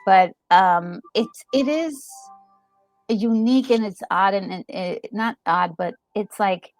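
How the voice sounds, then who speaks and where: A woman's voice: medium pitch, slow pace, soft background music, poor audio. A woman chatting casually as a co-host, thinking aloud and rephrasing as she goes. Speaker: co-host commenting on a game. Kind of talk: casual chat.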